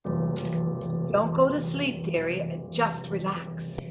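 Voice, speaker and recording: A woman's voice: medium pitch, expressive, very slow, loud music behind the voice. A woman playing a patient, wry, affectionate wife in an old-time radio comedy. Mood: soothing. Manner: wry, soothing. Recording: dull, echoey internet call recording.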